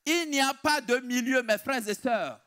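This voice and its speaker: Male preacher, very high voice, emphatic and deliberate delivery, sermon style, repeated key phrases. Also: sharp tone.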